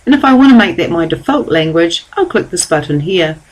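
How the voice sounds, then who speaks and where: A woman giving a calm, step-by-step software tutorial over a screen recording. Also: on the low side, a little fast, poor audio. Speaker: software trainer.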